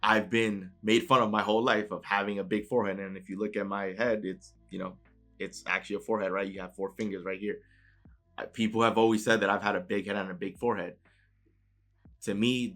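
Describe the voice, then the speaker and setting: A man with a New York accent speaks in a fairly low voice, talking straight to the listener in a relaxed, conversational way. He gives a motivational talk, tags his points with "right?", and faint music plays behind him. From New York City. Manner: conversational, keeps adding "right?" tag questions.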